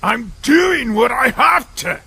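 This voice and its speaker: A man playing a proud, exasperated young male deer in an animated comic, indignant and sputtering. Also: very high-pitched, slow, creaky, slightly rough, dry recording, exaggerated voice. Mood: anguished.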